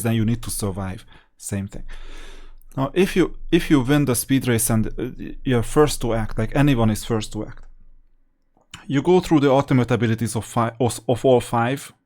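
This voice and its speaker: A man's voice: medium pitch, a little mellow. A man narrating a video game with casual, matter-of-fact commentary, explaining strategy as he plays. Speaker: host of a gaming strategy channel.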